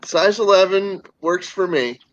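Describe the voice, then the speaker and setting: A man's voice: high-pitched, a little slow, a bit bright, muffled recording. A man in his forties joking and laughing on a group video call. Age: 40s. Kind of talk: casual chat.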